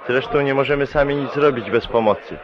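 An actor playing an uneasy, conscientious police deputy in a 1940s radio drama. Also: male voice, mid-range voice, a little fast, lightly acted. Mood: hesitant. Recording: dull vintage radio play, crowd behind.

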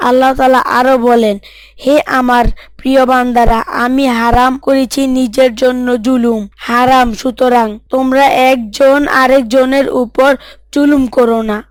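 A woman's voice: high-pitched, flat delivery, unhurried, clean tone, dry recording. A woman voicing an earnest, pious, sorrowful schoolboy in a scripted moral story drama, pleading and preachy. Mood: solemn.

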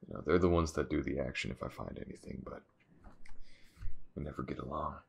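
Man acting a very deep, gravelly, weary detective. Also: exaggerated voice. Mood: weary, businesslike. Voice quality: low, gravelly, husky. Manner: halting, muttering, sighing, informal. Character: gruff, weary, aloof male detective in charge. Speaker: voice actor in an audio roleplay. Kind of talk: intimate audio roleplay acting, addressed to the listener.

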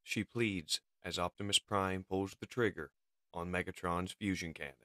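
A man's voice: low-pitched, fairly even intonation, moderate pace, sharp tone, dry recording. A man narrating a comic book as a dramatic scripted reading. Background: music.

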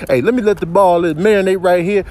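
A man's voice: high voice, brisk, a little mellow, lightly acted. A man voicing a cocky, chatty older animal showing youngsters soccer moves, slangy and showy, as comic voiceover. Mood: cocky, playful.

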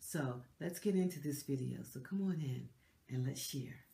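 A woman's voice: very deep voice, normal speed, vocal fry, roomy sound. A middle-aged woman hosting a lifestyle channel, talking casually to camera with a stuffy, nasal voice from a cold and lots of fillers and restarts. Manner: chatty, warm, with many fillers and restarts. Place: decorated living room indoors.

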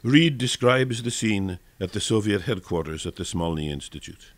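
A man's voice: lowish voice, animated, normal speed, no echo. Formal male narrator, dry close sound. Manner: formal, expository. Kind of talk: scripted historical narration for radio.

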